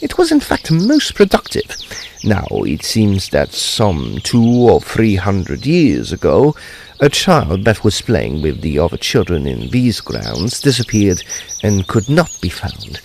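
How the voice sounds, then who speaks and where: An actor playing a courteous gentleman ghost investigator in a period audio drama, speaking formally and deliberately with theatrical flair. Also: low-pitched, wildly swooping pitch, gravelly, a little hiss or hum, full character voice. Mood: storytelling.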